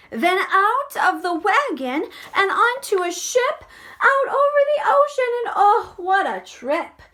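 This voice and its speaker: A woman, a first grade teacher, reading a storybook expressively to young children, with dramatic delivery and hushed asides. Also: high voice. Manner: animated, dramatic, drops into hushed whispered asides.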